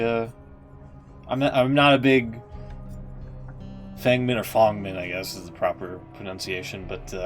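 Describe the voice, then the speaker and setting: A man giving casual, informal live-stream commentary on a game, musing aloud and hesitantly. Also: lowish voice.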